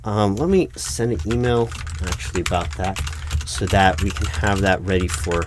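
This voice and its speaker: A man's voice: sits low, moderate pace, noisy background, close to an everyday voice. A man playing a flustered, officious recruiting manager in a one-sided job interview roleplay, stammering and repeating himself. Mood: awkwardly accommodating. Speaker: roleplay performer.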